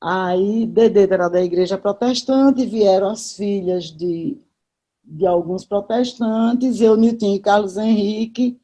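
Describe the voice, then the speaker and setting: Mellow older Northeastern Brazilian woman, chatty. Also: mid-range voice, takes it slowly. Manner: chatty, with frequent asides and tag questions. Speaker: interview guest, journalist. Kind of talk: casual, anecdotal reminiscence.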